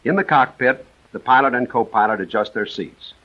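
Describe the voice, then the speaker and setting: An American man narrating a 1940s military training film in a measured, precise, instructional manner. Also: mid-range voice. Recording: dull vintage 1940s film soundtrack.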